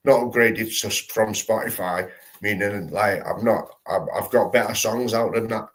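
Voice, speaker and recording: A man's voice: lowish voice, normal speed. A man hosting a live stream, chatting casually in slangy, rambling talk full of restarts.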